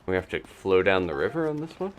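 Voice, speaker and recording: Deep-voiced, animated man streaming a game. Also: fast talker, slight background noise. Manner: animated, playful, exclaims and talks to himself. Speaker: video game streamer and host. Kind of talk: casual live gameplay commentary.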